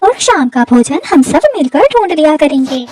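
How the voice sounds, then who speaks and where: Woman as a high-voiced kindly crow. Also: very animated, moderate pace, a little mellow, far from the mic. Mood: consoling. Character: kind, helpful female crow neighbor.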